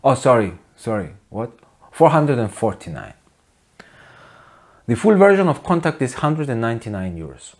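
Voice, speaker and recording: Male video essayist, forties, mid-range voice, dry and deadpan, opinionated. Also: medium pitch, normal speed, pauses often. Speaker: host of a music production video channel. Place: small home studio, indoors.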